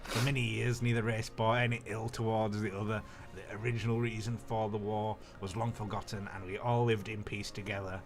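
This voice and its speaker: A man's voice: fairly low pitch, little pitch movement, a little fast, hardly any pauses, close to an everyday voice. A man voicing a regal alien queen from a visual novel, with stilted, formal delivery. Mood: solemn. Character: regal alien queen recounting grave history.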